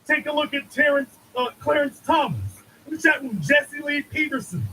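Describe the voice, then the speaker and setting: High-voiced emphatic male commentator. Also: expressive, moderate pace, pushed and bright, slight background noise, low-quality recording. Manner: preachy, emphatic, repeats for emphasis. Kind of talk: political monologue.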